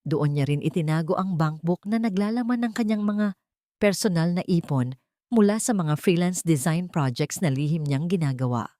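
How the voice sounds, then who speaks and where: A woman's voice: lowish voice, moderate pace, dark tone, no echo. Woman narrating drama, dry close sound. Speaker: story narrator. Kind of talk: scripted dramatic narration of a love story.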